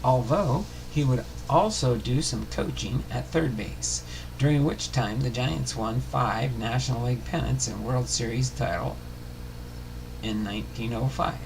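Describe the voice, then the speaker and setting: A man hosting a baseball history series, reading a biography aloud in a halting way, stumbling and rereading words. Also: mid-range voice, gravelly, background noise.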